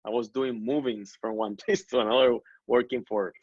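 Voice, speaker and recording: A man tells his own story as an interview guest. He speaks earnestly and often stops to restart his sentences. The voice sits in a middle pitch range, and the recording is dull and dry. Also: steady tone, normal speed, a little mellow, slightly rough, no echo. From Colombia. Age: 30s. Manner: earnest, many self-corrections and restarts.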